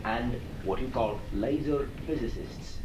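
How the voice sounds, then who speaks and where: A man voicing a channeled alien being, assured and teacherly, in deliberate halting phrases with formal, stilted wording. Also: medium pitch, lightly acted. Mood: instructive, encouraging. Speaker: channeler answering audience questions. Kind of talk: channeling session, question and answer. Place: echoey room, recorded from afar. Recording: echoey, noisy old cassette, distant mic.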